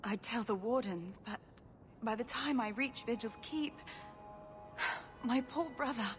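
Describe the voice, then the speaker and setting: A woman's voice: on the high side, takes it slowly, over music. A woman playing an earnest, distraught noblewoman in a dramatic video game scene, pleading for help. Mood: anxious, pleading. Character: distraught noblewoman pleading for her missing brother.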